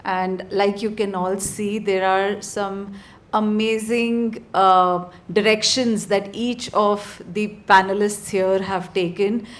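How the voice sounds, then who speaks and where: A woman's voice: medium pitch, little pitch movement, takes it slowly. A woman moderating a panel discussion, thanking speakers and asking questions in an encouraging way.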